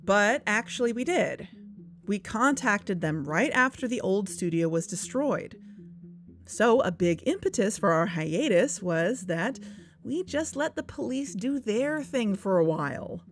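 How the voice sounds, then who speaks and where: A woman's voice: medium pitch, lively intonation, a little slow. A woman playing a wry, earnest investigator who co-hosts a podcast, narrating in a conversational, confiding way. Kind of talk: conversational scripted audio drama narration. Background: background music.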